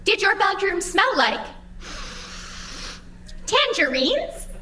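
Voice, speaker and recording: Woman acting a high, bright, over-eager AI assistant. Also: sing-song, slow pace, reverberant, distant mic, slight background noise, heavily acted. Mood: polite, probing. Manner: formal, over-eager robotic politeness. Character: anxious, chirpy female AI assistant guiding the digital afterlife. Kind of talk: audio drama acting.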